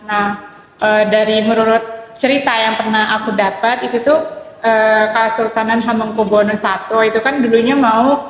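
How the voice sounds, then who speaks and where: A woman's voice: a little high, fairly even intonation, normal speed. A young woman from Yogyakarta, a podcast guest explaining hesitantly with fillers. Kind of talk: casual chat. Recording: echoey room with long reverb.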